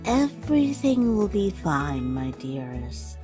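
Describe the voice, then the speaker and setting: A gentle motherly monster guardian from a comic dub, played by a woman. She speaks very slowly and earnestly, tender but resolute, with music running behind her. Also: mid-range voice, big pitch swings. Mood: soothing. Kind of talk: dramatic comic dub acting. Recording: voice over background music.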